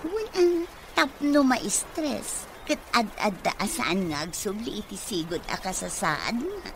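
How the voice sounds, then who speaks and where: Sly Ilocano woman, slow drawl. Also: medium pitch, sing-song, noisy background. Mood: sly mockery. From Philippines. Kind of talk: radio comedy drama acting.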